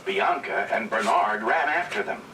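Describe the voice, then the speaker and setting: A man's voice: on the low side, expressive, normal speed, noisy background. A man narrating a children's story in a dramatic, scripted read-along style. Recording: distant old record, loud music.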